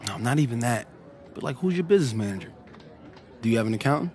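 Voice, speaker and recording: Young male athlete for a sports game, performed by a man: medium pitch, ambitious, earnest, persuasive, streetwise casual phrasing. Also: expressive, fast talker, darkish tone, soft background music, close to an everyday voice. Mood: serious, reflective. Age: young adult. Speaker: basketball player. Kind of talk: naturalistic video game cutscene acting.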